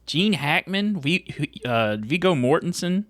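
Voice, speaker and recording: A man co-hosting a casual movie podcast, relaxed, steering the talk and reading critics' quotes aloud. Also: a little high, takes it slowly. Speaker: podcast co-host.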